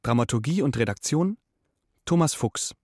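A man's voice: medium pitch, lively intonation, normal speed. A man reading out the closing credits of a radio play as a scripted announcement.

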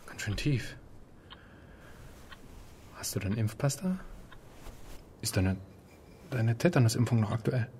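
Easygoing husband, faint ambience behind. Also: male voice, medium pitch, expressive, measured pace, long pauses, darkish tone, slight background noise. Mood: concerned. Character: easygoing, caring husband at home. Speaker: supporting actor. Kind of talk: naturalistic radio drama dialogue.

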